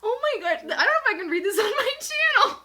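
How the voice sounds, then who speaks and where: High-pitched giggly woman host. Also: big pitch swings, fast talker, sharp tone. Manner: giggly, playful. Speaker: video host. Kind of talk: casual comic chat.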